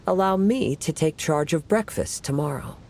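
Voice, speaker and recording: A woman voicing a composed, polite young woman in a video game, courteous and measured. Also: sits low, a little mellow, slight background noise. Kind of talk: video game character acting.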